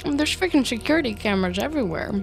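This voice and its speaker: A woman's voice: sits high, expressive, quick pace, creaky, faint music behind, exaggerated voice. An actress playing a nervy, scheming female office worker with a sly edge in an audio drama. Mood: flustered. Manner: chatty, hesitant, mild minced swearing. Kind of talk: naturalistic audio drama acting.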